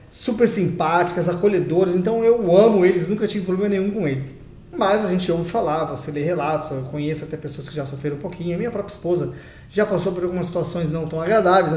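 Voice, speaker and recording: A man's voice: high voice, dark tone. A young Brazilian man with a Brazilian Portuguese accent, talking in a chatty, emphatic vlog and speaking straight to his viewers. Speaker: vlogger. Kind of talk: casual opinion vlog. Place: home in Portugal. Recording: roomy echo.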